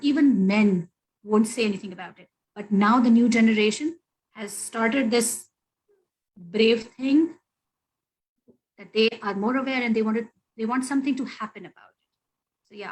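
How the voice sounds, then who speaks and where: A woman's voice: on the high side, moderate pace, a little mellow, poor audio. A woman co-speaker on a webinar video call, talking in an earnest, thoughtful way.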